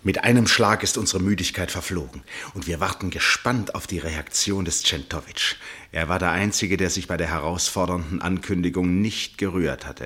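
An actor playing an absorbed first-person narrator in a literary radio play, measured and suspenseful, with deliberate pauses and a husky voice. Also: male voice, deep voice, fairly bright tone. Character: absorbed male first-person narrator watching a tense match.

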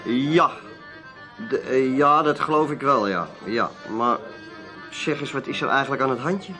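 A man's voice: mid-range voice, unhurried, bright, forceful tone, faint music behind. A man playing a chatty, evasive small-time dealer in a radio drama, with a folksy, hesitant way of talking. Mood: casual, evasive. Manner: folksy, hesitant, tag-heavy talk. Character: chatty, evasive male dealer in odds and ends.

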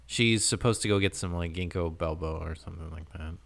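A man's voice: sits low, fairly quick, creaky. A man co-hosting a casual podcast, recapping a show in a playful, joking way. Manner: joking, playful, laughs often. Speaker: podcast co-host.

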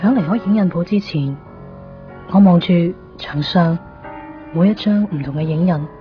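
A woman's voice: lowish voice, moderate pace, soft background music, lightly acted. An actress playing a melancholy young woman in a wistful Cantonese radio drama monologue. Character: melancholy young woman quietly leaving her love behind. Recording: dull lo-fi 1990s radio.

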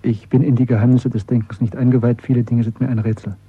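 An actor playing an earnest, cautious male clerk in a radio drama, with a husky voice and a reserved, correct way of speaking. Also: medium pitch, flat delivery, fast, dry recording, phone-quality sound. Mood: tense, confessional. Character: earnest, cautious low-ranking party clerk, secretly rebellious man.

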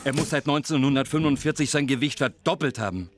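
A man's voice: mid-range voice, fast, noisy background, natural voice. A man playing a sardonic, resentful skeptic in a radio play, blunt and needling. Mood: mocking.

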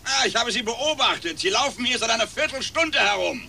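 A man's voice: very high voice, animated, a little fast, vocal fry, sharp tone, slight background noise, heavily acted. An actor playing a suspicious, officious man in a radio drama, stern and humorless as he challenges people. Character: suspicious, officious man confronting a snooping stranger.

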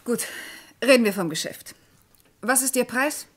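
A woman's voice: a little high, expressive, a little slow, slightly rough. Cool, guarded businesswoman. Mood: cool, challenging. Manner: composed, evasive, formal. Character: cool, guarded, shrewd female art importer. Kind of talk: German radio crime drama acting.

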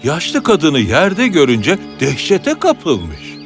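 A man tells a children's fairy tale in scripted narration. His pitch is a little high and swings dramatically from phrase to phrase, and music plays clearly behind him. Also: wildly swooping pitch, extremely slow. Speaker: storyteller, narrator. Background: background music.

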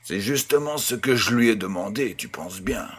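A man playing a kindly grandfather who tells a bedtime tale in a measured, gentle, husky voice. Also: fairly low pitch, lively intonation. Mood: storytelling, wondering. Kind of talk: scripted audio storytelling.

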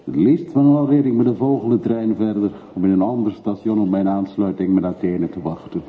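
A man's voice: fairly low pitch, fairly quick, lightly acted. An actor playing a reserved, brooding traveller in his forties in a radio play, terse and guarded. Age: 40s. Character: reserved, brooding traveller of forty-three, reluctantly back home. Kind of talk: radio drama acting. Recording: muffled narrowband radio recording with hiss.

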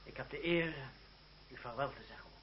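An actor playing a defiant, principled young lawyer in a radio drama, speaking formally and with measured, stubborn politeness. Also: male voice, medium pitch, close to an everyday voice. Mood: quietly resolute. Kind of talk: Dutch radio drama acting. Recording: muffled, noisy, humming old radio recording.

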